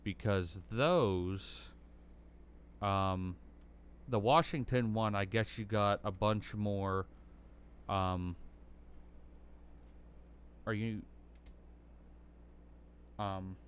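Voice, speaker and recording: A young American man with a bassy voice, rambling through casual commentary while playing a driving game, full of ums and likes. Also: extremely slow, long pauses, smooth. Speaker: gaming YouTuber.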